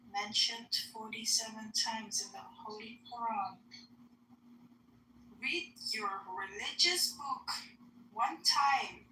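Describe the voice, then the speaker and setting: A woman's voice: on the high side, sharp tone. Emphatic woman host, roomy and distant. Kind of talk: casual live chat. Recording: roomy, distant, faint noise.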